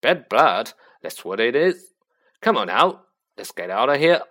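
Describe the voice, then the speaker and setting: A man doing a booming gruff giant. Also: very animated, unhurried, bright, forceful tone, exaggerated voice. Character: huge gruff kindly half-giant man.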